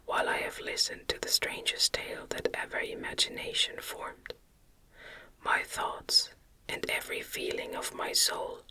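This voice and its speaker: Whispering woman, slow and breathy ASMR reading. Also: poor audio. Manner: gentle, soothing, measured. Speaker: ASMR narrator reading an audiobook.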